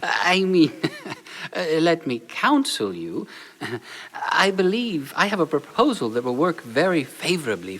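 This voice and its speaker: A man playing an oily, sycophantic, villainous advisor to a Roman lord in a theatrical audio drama, full of flattery and sinister giggles. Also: fairly high pitch, exaggerated voice. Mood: fawning, sly.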